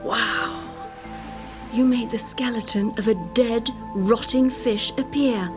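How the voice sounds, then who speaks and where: An actress playing a fed-up, headstrong scientist's wife in a radio drama, sardonic and insistent in manner. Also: female voice, fairly high pitch, steady tone, takes it slowly, music in the background. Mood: sardonic, weary.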